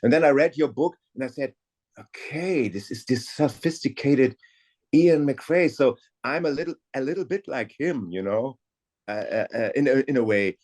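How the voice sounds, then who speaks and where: An older man, an audiobook narrator, chatting casually in an interview in a lively but hesitant way and often searching for words. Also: medium pitch, normal speed.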